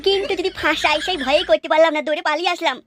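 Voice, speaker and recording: A squeaky, extremely high-pitched little male cartoon ghost. He talks quickly in an indignant, whiny way, lecherous and cowardly at once. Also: fairly even intonation, music in the background. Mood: scheming, wistful. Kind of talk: cartoon dialogue.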